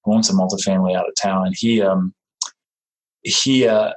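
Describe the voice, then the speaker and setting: A man with a Danish accent talks in a low voice, friendly and unhurried. He stops and restarts often, filling gaps with ums as he explains himself. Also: level tone, poor audio. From Denmark. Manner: friendly, halting, many fillers and restarts. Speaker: guest, real estate investor. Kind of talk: casual interview talk.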